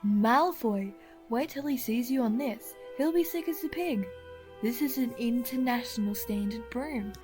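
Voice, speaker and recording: Woman doing a mouthy excitable teen boy wizard, kinda high voice, blunt slangy talk, audio play with music under it. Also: a little slow, lightly acted. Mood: excited. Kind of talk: fan audio drama acting.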